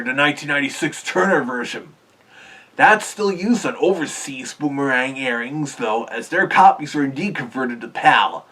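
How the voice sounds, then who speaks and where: Husky-voiced man narrating, mic set back. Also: a little high, pushed and bright, recorded from a distance. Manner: earnest, explanatory storytelling. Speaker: cartoon history video host. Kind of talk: scripted narration.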